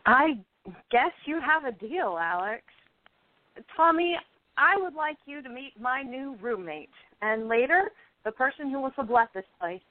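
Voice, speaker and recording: Hesitant, easily rattled actress character, woman performer, radio play, animated pitch, phone-like recording. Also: measured pace, no room sound. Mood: brisk, wry. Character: hesitant, discouraged young actress, easily flustered. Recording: tinny phone-like lo-fi audio.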